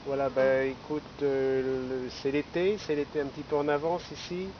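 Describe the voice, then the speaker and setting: A man's voice: medium pitch, level tone, moderate pace. A man making rambling, casual amateur radio chat outdoors, often adding small tags to his phrases. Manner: chatty, rambling, tagging phrases with hein and là. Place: outdoors in a city park. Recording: dull, lo-fi outdoor recording with noise.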